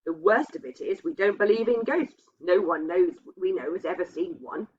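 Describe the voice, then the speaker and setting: A woman's voice: fairly low pitch, animated, normal speed, a little mellow, close to an everyday voice. A woman voicing a polite, earnest young woman in a storybook reading. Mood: chatty. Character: polite young woman, well-meaning but constrained. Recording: dull, muffled lo-fi sound.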